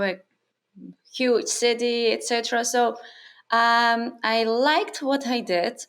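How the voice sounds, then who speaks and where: A woman's voice: a little high, vocal fry. A woman, a native Turkish speaker from Turkey, in her thirties, answering interview questions in a thoughtful, casual way, with fillers and restarts. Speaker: interview guest, freelancer. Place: her home on an island.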